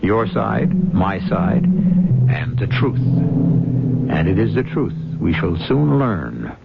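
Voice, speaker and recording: A male host with a low, crackling voice narrates in a theatrical, deliberate style. His pitch moves widely. It is a dull vintage radio recording with music behind. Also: sing-song, creaky. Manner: deliberate, theatrical, posing questions. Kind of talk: scripted dramatic radio narration.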